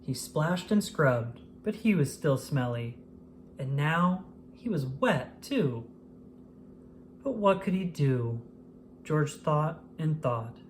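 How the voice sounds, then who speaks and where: A narrator reading a children's picture book aloud in an expressive, scripted storytelling style. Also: medium pitch, slow pace, slight background noise. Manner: expressive, acts out exclamations and dialogue.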